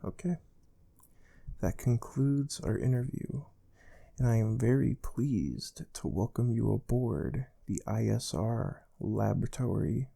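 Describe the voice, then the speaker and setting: A man playing a calm, stern space research interviewer in a soft-spoken ASMR role play, measured and formal. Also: lowish voice, gravelly, heavily acted. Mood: formal, quietly stern.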